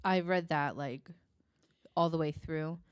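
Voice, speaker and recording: A woman's voice: sits low, takes it slowly, clean tone, no echo, studio quality. A woman co-hosting a podcast in casual banter, talking candidly and poking fun at herself. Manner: candid, self-deprecating. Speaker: podcast co-host.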